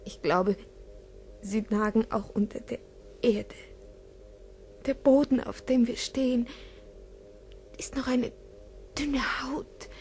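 An actress playing a frightened young daughter in an old radio drama, speaking in a fearful whisper. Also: female voice, a little high, slow, long pauses, a little mellow. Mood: dread. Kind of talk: 1950s radio drama acting. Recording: old 1950s radio recording with hiss.

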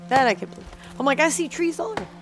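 A woman's voice: high voice, big pitch swings, very fast. A young adult woman in a casual reaction chat as co-host. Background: game soundtrack music.

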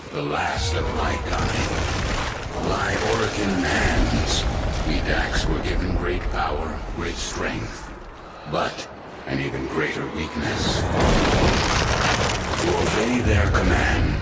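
A solemn old soldier mentor from a video game, grave and commanding. He speaks slowly and deliberately from some distance in a roomy echo, with loud music around him. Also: rumbling low, heavily acted. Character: solemn old warrior mentor, last of his kind. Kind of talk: scripted video game voice acting.

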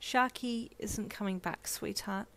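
A woman voicing a caring mother who speaks softly and soothingly. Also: mid-range voice, moderate pace, voice pushed up, natural voice. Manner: soft and soothing. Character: gentle, caring young mother.

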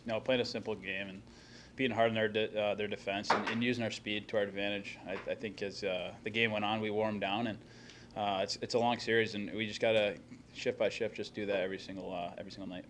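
Fast-talking low-voiced hockey player. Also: male voice, noisy background. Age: young adult. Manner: plain, steady answers with many fillers and restarts. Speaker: hockey player at a postgame press conference. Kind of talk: postgame press conference answers. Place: press conference room. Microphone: table microphone in front of him.

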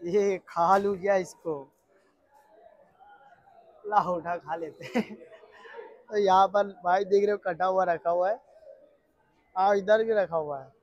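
A man's voice: high-pitched, fairly even intonation, takes it slowly, long pauses, darkish tone, no room sound, dull audio. A man with a Mumbai Hindi accent doing casual market-vlog commentary, chatty and repetitive, addressing viewers informally. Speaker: vlogger touring a wholesale fruit market. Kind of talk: casual vlog commentary, asking vendors prices. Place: large covered wholesale fruit market. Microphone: handheld phone.

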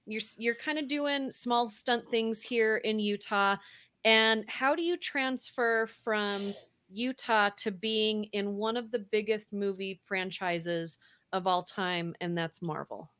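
A woman's voice: mid-range voice, slow pace, fairly bright tone, smooth, dry recording. Upbeat woman host, dry headset sound. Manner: chatty, upbeat, laughs often. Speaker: podcast host. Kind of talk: casual interview chat. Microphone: headset on a video call.

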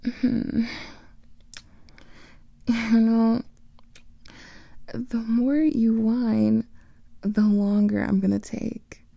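A young woman voicing a teasing female vampire lover in an ASMR roleplay, whispering softly close to the microphone. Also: fairly high pitch, little pitch movement, extremely slow, long pauses, no echo, exaggerated voice. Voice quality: breathy whisper with vocal fry.

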